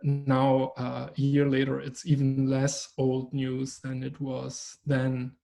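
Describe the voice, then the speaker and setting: A man whose first language is German, an artist speaking thoughtfully in an interview, pausing often with fillers. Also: mid-range voice, monotone, vocal fry, mellow, faint music behind. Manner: thoughtful, hesitant with many fillers. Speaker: artist guest. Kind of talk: conversational interview.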